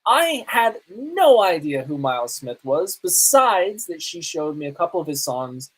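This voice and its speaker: A man's voice: a little high, big pitch swings, measured pace, fairly bright tone, poor audio. A man hosting a podcast, talking casually in a rambling, conversational way and often correcting himself. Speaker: podcast host. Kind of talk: casual live podcast talk and reviews.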